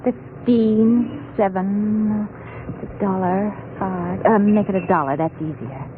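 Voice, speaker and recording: An actress playing an earnest, naively practical wife and amateur sleuth in a 1940s radio mystery. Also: female voice, mid-range voice, slow, close to an everyday voice. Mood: musing, worried. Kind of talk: 1940s radio drama acting. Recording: noisy, narrow-band 1940s radio recording.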